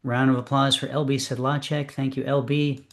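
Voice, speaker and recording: A man's voice: medium pitch, flat delivery, normal speed, a bit bright. A man hosting an online poetry reading over a video call, chatting casually with many fillers and hesitations. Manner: hesitant, lots of fillers, friendly. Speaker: host of open poetry reading.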